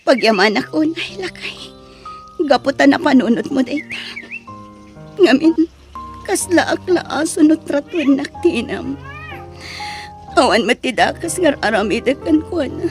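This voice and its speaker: A woman's voice: high voice, lively intonation, a little slow, long pauses, faint music behind. A woman with an Ilocano accent playing an apologetic, put-upon young wife in an audio drama, plaintive in manner.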